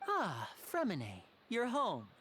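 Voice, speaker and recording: A woman playing a polite, composed, caring elder sibling in a video game, with a gently formal manner. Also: medium pitch, wildly swooping pitch, slow pace, over a noisy crowd. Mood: poised, polite.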